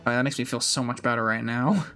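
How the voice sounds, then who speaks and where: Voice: mid-range voice, steady tone, fast talker. A game streamer chatting casually on a live stream, thinking aloud hesitantly with mild swearing. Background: music.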